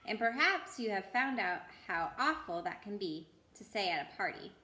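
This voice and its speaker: A woman's voice: mid-range voice, very animated, moderate pace, bright, forceful tone, roomy sound. A woman reading a children's book aloud expressively as a storyteller, with the odd stumble.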